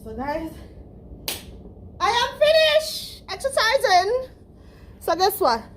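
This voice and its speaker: A woman's voice: very high voice, big pitch swings, takes it slowly, fairly bright tone, slight background noise. A woman with a Jamaican accent talking playfully to her viewers in a casual home workout vlog. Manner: chatty and playful, breathless after exercise. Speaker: vlogger.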